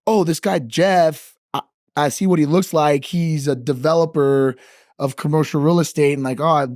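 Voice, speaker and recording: A man who grew up in the Dominican Republic, a bar owner telling relaxed stories with lots of fillers in a casual podcast chat. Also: fairly high pitch, normal speed, no echo, clean studio sound. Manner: relaxed, rambling storyteller with many fillers.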